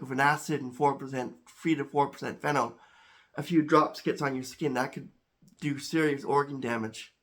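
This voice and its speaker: A man hosting a home chemistry channel talks casually to camera, a little congested, halting with many restarts and repeated words. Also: fairly high pitch, a bit bright.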